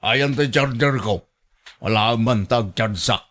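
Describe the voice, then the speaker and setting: A man's voice: mid-range voice, takes it slowly, a bit bright. A man with an Ilocano accent playing a bossy, demanding elderly man in a radio drama, blunt and nagging. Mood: calling out impatiently.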